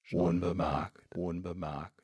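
A man guiding a self-confidence hypnosis session, speaking slowly and softly with long pauses and repeated words. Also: low-pitched, little pitch movement, slightly rough, dry recording, studio quality.

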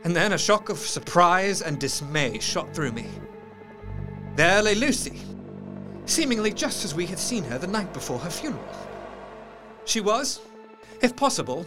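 An actor playing a troubled, sceptical gentleman doctor, narrating his diary in intense, formal literary diction for a gothic drama. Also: sits high, sing-song, normal speed, pauses often. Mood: uneasy, dismayed. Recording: music under the voice. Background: music.

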